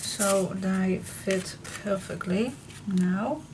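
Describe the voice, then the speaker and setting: A woman hosting a papercraft tutorial, chatting casually while she works, her voice a little froggy and hoarse. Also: mid-range voice, heavy background noise. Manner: chatty, slow and relaxed, talks to herself while she works. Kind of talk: casual crafting tutorial talk.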